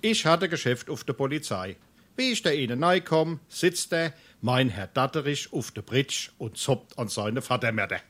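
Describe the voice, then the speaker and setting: An actor playing a sly, good-natured butcher with a Darmstadt Hessian accent, wry and matter-of-fact in a dialect stage comedy. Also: male voice, mid-range voice, lively intonation, normal speed, fairly bright tone. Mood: knowing.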